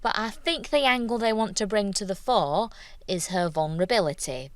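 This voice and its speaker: A woman playing a businesslike casting director running auditions, courteous and smoothing things over, in naturalistic audio drama. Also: mid-range voice, moderate pace, dry recording, close to an everyday voice. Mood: diplomatic.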